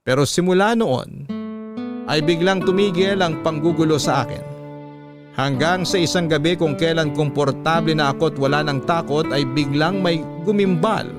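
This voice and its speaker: A man hosting a story show, reading a listener's tale in the first person with a measured, suspenseful delivery. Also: a little high, music in the background.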